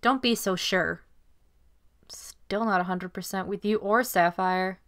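A woman playing a feisty, clumsy teenage girl in an amateur anime audio drama, brash and expressive. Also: medium pitch, measured pace. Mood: indignant.

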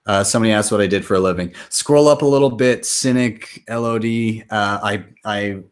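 Man, 30s, slightly low voice, casual live stream host, chatty, swears casually, webcam in a small home room. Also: moderate pace. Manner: loose and chatty, reads viewer comments aloud, swears casually. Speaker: crypto channel host.

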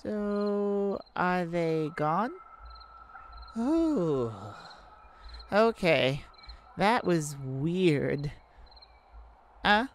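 A wounded alien star played by a woman, proud and baffled by humans. Her voice sits a little low and she talks very slowly, breaking into exclamations, in the manner of an intimate roleplay drama. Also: animated, background noise. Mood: relieved, wry. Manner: halting, exclaiming, slightly formal wording. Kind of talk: roleplay audio drama addressed to the listener.

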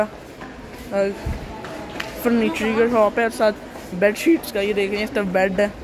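A young man narrating a casual walking tour, chatting to his viewers as he films on a phone while walking from a parking lot into a shopping mall. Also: high-pitched. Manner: chatty, repeatedly addressing viewers as "guys". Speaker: vlogger. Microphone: phone camera held while walking. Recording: noisy outdoor phone recording. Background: traffic and street noise outdoors.

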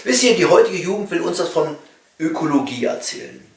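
A middle-aged man from East Germany reading a satirical comedy monologue with wry emphasis and pointed pauses. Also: on the high side, big pitch swings, vocal fry. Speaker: cabaret comedian and author. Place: small home study, indoors. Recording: roomy echo, distant microphone.

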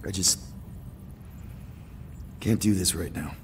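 An actor playing a brash, overwhelmed space-outlaw leader, impulsive and exasperated. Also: male voice, low voice, slow, slight background noise. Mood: weary, defeated.

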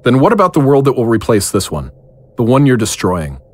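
A man's voice: medium pitch, huge pitch swings, brisk. A man playing an earnest, caring partner and friend in an audio drama, sincere and tender. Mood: troubled.

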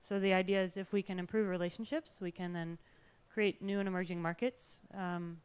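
A woman, speaking as a panelist on a handheld mic in a fluent, measured way. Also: on the low side, flat delivery, darkish tone, smooth. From California. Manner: fluent, measured, some fillers.

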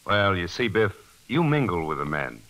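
Bossy smug american factory boss, old radio drama, condescending, big swings in pitch, bright. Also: male voice, sing-song, moderate pace, heavily acted. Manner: blustering, condescending. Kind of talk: 1940s radio acting. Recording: 1940s radio broadcast.

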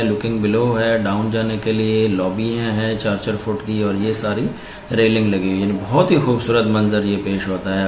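Man, low voice, steady architect walkthrough, roomy echo, faint background hiss. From Pakistan. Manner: steady explanatory, repeating "ye dekhen" while pointing. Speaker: architect presenting house plans. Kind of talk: walkthrough narration of floor plans.

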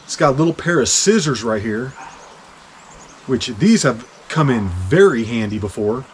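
A man's voice: medium pitch, normal speed, slight background noise, rough recording. Chatty man on a snowy deck. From Kansas. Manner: chatty, jokey, bursts of excitement. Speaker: hiking vlogger. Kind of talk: casual gear review. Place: outdoor back deck in winter.

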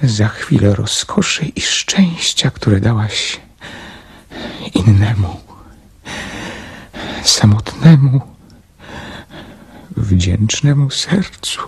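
An actor playing a lonely, tender young man in love in a radio play, hushed and full of emotion. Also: lowish voice, lively intonation, vocal fry, husky, full character voice. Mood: wistful, rapturous. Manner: hushed, emotional, slow delivery. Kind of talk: radio drama acting. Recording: radio drama with string music underneath.

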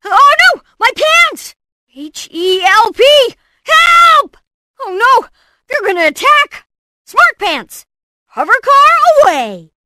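A woman voicing a brainy, cocky, excitable boy inventor in cartoon game clips, with a theatrical, punchy delivery. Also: extremely high pitch, slow, no echo, studio quality, full character voice. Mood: panicked. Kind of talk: animated game voice clips, short exclamations and catchphrases.

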